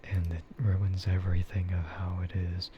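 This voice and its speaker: A man speaking softly in a breathy half-whisper, rambling casually and hesitantly like an ASMR streamer close to the mic. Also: deep voice, little pitch movement, normal speed. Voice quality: soft, breathy, often whispered. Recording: muffled lo-fi stream audio, clear background noise.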